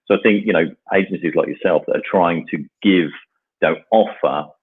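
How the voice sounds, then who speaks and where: A man's voice: deep voice, moderate pace, breathy edge, phone-quality sound. A man co-hosting a casual chat show, talking earnestly with many fillers. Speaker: co-host.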